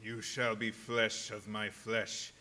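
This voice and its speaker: A possessive, menacing vampire, voiced by a man for radio drama. He intones slowly and evenly in a fairly low voice, with noise clearly behind him. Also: completely flat delivery, sharp tone, heavily acted. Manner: slow, solemn intoning. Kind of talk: old-time radio drama acting. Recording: clear background noise and ambience.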